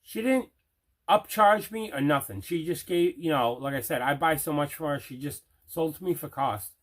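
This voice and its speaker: A man's voice: fairly high pitch, moderate pace, a bit bright. A man hosting a casual unboxing video, chatting enthusiastically and leaning on repeated words for emphasis. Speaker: host of a collecting unboxing video. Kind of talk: casual unboxing chat to camera.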